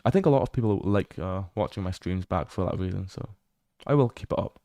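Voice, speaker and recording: A young British man with a fairly low voice, talking into a desk mic in a dry, close sound. He rambles casually with a stream audience, swearing freely. Now and then he breaks into excited exclamations. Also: a little fast, no echo. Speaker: livestreamer. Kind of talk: casual livestream chat while exploring a game.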